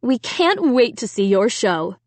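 A young mother speaks in an impatient, pushy way with a lively, fairly high voice, as a woman reads her lines. The sound is dry and close. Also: a little slow, dry recording.

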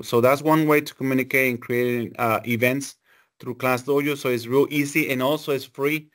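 Middle-aged man, halting informal explainer. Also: mid-range voice. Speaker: elementary school teacher giving a training session. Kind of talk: informal software walkthrough. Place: indoors, on a video call.

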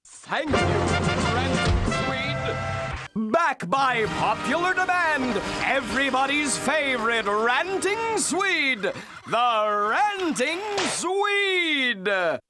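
An actor playing a cartoon show announcer with mock-grand, drawn-out delivery. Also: male voice, extremely high pitch, sing-song, loud music behind the voice, heavily acted. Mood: announcing.

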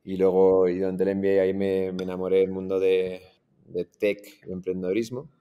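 A man in his forties with a Madrid Spanish accent, telling his story as an interview guest on a video call, relaxed and full of restarts. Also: sits low, fairly quick, clear, resonant tone, highs cut off. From Iran, raised in Madrid. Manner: relaxed, many restarts and fillers. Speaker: startup founder guest. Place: in front of a green screen, indoors.

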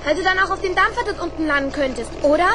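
A woman's voice: very high voice, fast, noisy background. A woman playing an eager, inquisitive young schoolboy inventor in a children's radio play. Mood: eager, persuasive.

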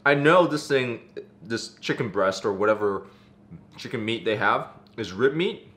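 Excitable young man vlogging casually. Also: medium pitch, measured pace. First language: not English. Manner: excitable, slangy, says "dude" and "freaking" a lot. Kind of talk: casual vlog to camera. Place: car, then kitchen, then living room, indoors.

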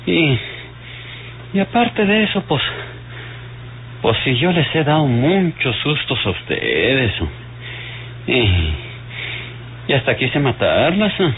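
Groaning, halting sick old Mexican man. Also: medium pitch, very animated. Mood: resigned, regretful. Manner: rustic, sardonic, colloquial speech. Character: ailing, bitter, sardonic dying old man, repentant rogue. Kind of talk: old radio serial acting. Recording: muffled, hissy old radio drama recording.

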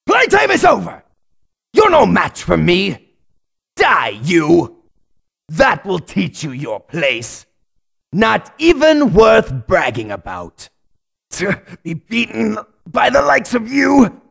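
A vicious, arrogant alien warrior from an anime fighting game, snarls in a raspy, gravelly voice. His pitch leaps wildly from low sneers to very high battle shouts. He draws his taunts out very slowly, savoring his contempt. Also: huge pitch swings, no echo, studio quality, heavily acted. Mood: contemptuous. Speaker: video game character voice actor.

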